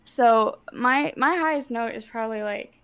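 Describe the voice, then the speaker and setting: A woman chatting on a live stream through a close personal microphone, halting and restarting her sentences. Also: on the high side, a bit bright, clean tone. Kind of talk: casual chat.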